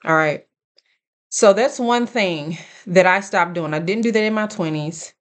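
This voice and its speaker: A woman in her late thirties giving casual, earnest life advice straight to her viewers, speaking deliberately and checking in with them. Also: lowish voice. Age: late 30s. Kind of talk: casual direct-to-camera advice talk.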